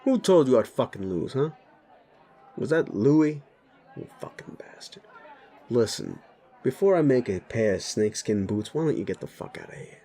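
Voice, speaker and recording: A man voicing a brash werewolf bully boss in an audio roleplay, gravelly, swaggering and blunt, spoiling for a fight. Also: mid-range voice, very animated, normal speed, dark tone. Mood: hostile.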